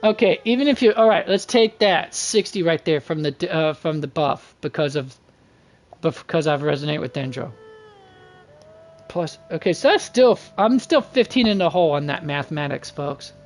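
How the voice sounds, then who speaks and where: A man's voice: a little high, normal speed, faint music behind. A man doing casual livestream game commentary, thinking aloud and muttering to himself in a folksy, slangy way. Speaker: game streamer.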